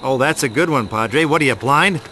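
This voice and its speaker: An actor playing a cocky, sarcastic American action hero with slangy delivery in a video game. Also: male voice, mid-range voice, moderate pace, bright, forceful tone, a little hiss or hum, lightly acted. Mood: sarcastic, impatient. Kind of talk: video game cutscene acting.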